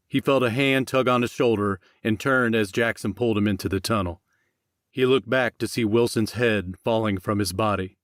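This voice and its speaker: A man's voice: lowish voice, no echo, clean studio sound. A man reading scripted fiction as an audiobook narrator.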